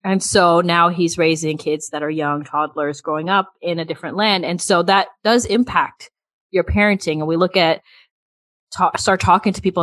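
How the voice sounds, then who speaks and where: A woman co-hosting a podcast, talking in a lively, chatty way with frequent laughs. Her voice sits a bit low, and the sound is close and dry. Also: no room sound. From United States. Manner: animated, chatty, laughs often. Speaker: podcast co-host. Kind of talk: casual chat.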